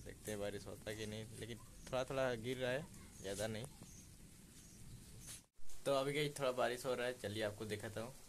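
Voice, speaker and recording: A man's voice: mid-range voice, steady tone, fairly quick, long pauses. A young man in his twenties casually narrating a village daily vlog, filming himself on a phone held at arm's length in an outdoor courtyard. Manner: easygoing, chatty, points things out to viewers. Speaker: village vlogger. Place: village courtyard outdoors, rain, goats nearby. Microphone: phone selfie at arm's length. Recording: noisy outdoor phone recording.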